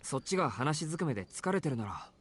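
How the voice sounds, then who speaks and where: An actor voicing a calm, blunt teenage boy protagonist, speaking in a dry, matter-of-fact way. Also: mid-range voice, racing, husky. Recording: game audio.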